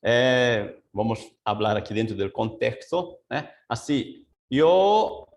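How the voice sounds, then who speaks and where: Slow, gravelly man teaching a Bible study. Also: mid-range voice, expressive. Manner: mixes Portuguese and Spanish, slow and searching, many fillers and asides. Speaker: pastor. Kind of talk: Bible study teaching over video call. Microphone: video call.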